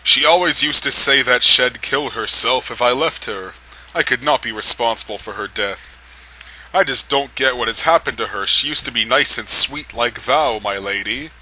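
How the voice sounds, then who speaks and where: A man's voice: mid-range voice, normal speed, bright, forceful tone, husky, rough recording. A man voicing a lovestruck, gallant young male vampire with overwrought, archaic courtly phrasing. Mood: tormented, regretful.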